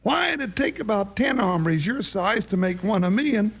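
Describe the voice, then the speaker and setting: An actor playing a kindly, folksy old cowboy sidekick with a cracking, wheezy voice in a 1950s Western radio drama. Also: male voice, high voice, animated, a little slow, vocal fry, breathy edge, heavily acted. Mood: reassuring. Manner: folksy Western drawl, swooping, blustery.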